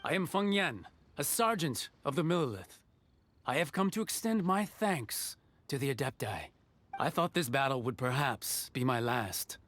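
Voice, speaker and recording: An actor playing a loyal, dutiful soldier sergeant in a video game, speaking formally and earnestly. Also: male voice, medium pitch, animated, normal speed, a bit bright, close to an everyday voice.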